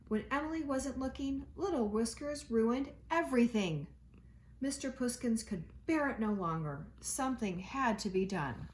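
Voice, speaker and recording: A woman teacher reading a children's storybook aloud, with expressive delivery and dramatic emphasis. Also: a little high, normal speed, slight background noise, low-quality recording.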